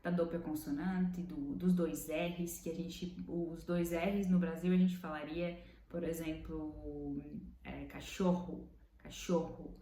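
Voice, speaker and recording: Low-voiced Brazilian woman explaining patiently. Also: level tone, warm tone. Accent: Brazilian Portuguese. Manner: patient, thinks aloud with fillers, slows to spell out Italian examples. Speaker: language learning vlogger. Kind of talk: casual explanatory teaching. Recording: roomy room with faint background noise.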